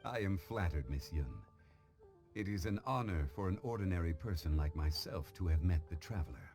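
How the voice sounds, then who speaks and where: A man playing a learned, composed gentleman in a video game, speaking in a formal, measured, courteous way. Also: deep voice. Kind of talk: animated game cutscene dialogue. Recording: music behind the voice.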